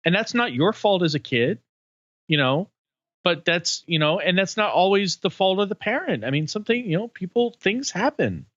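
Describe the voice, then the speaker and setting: A man hosting a candid podcast conversation, working his thoughts out slowly with lots of restarts and "you know"s. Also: sits high, big pitch swings, a bit bright, no echo, muffled recording. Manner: halting and searching, many restarts and fillers. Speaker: podcast host.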